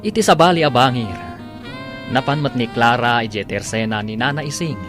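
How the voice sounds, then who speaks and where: A male radio drama announcer reads scripted narration. His delivery is declamatory and emphatic, with music playing clearly behind him. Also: medium pitch, normal speed. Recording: prominent musical bed.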